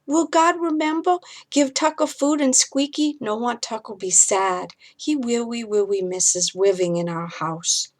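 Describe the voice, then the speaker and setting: Storybook small boy, played by a woman: high voice, anxious and sad, halting toddler speech. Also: lightly acted. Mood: pleading, sad. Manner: halting, repeats words, toddler grammar. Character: sleepy, worried little boy, sad about his dog.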